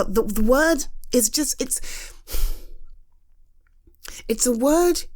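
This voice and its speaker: British woman, unhurried, animated pitch; frank, halting, comforting friend, close-mic roleplay. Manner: halting and conversational, stumbling restarts, frank and reassuring. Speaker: audio roleplay performer. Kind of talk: scripted POV roleplay, reassuring friend.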